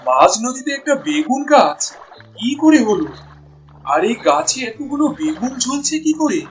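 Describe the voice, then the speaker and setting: A man plays a cheerful village husband who dotes on his family in a cartoon. His voice is strikingly high for a man, over soft music. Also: big pitch swings, moderate pace. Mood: astonished, pleased. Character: cheerful, doting poor village husband and father. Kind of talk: Bengali cartoon voice acting.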